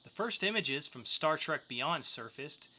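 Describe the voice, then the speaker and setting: A man hosts a geek news and review podcast with a bright, forward voice. His delivery is casual and joking, and there is faint noise behind him. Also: a little high, animated, a little slow. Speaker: podcast co-host. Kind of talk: news segment and comics review.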